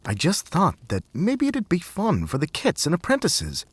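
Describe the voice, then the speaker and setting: Man playing young storybook cat, polite, hopeful, raised pitch, lilting. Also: wildly swooping pitch, normal speed, breathy edge, no echo, full character voice. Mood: hopeful, cautious.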